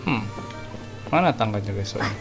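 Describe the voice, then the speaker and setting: A man's voice: on the low side, expressive, brisk, vocal fry. A man from Indonesia commenting casually as he plays a game, with blunt, slangy asides. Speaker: gaming channel host. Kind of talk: casual let's-play commentary. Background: game music.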